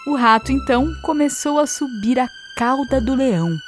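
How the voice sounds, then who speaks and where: A Brazilian woman tells a scripted fable for young children. Her voice is fairly high, and music is clearly heard behind her narration. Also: moderate pace. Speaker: storyteller.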